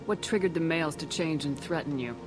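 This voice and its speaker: A woman's voice: low-pitched, brisk, lightly acted, low-quality recording. A woman playing a stern military commander in a video game, firm, probing and controlled. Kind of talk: dramatic video game voice acting. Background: cinematic background music.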